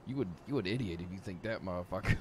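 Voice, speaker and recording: A man streaming a role-play game, chatting casually with profane, teasing banter. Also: low voice. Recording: game car and street noise.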